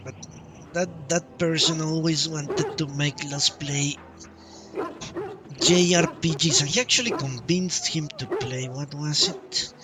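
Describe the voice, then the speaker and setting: A man with a slightly high voice chats casually while streaming a game. He speaks slowly and haltingly, full of opinions. Game music plays clearly behind him. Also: fairly even intonation, recorded from a distance, poor audio. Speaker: game livestreamer. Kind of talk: casual live playthrough commentary, chatting with viewers.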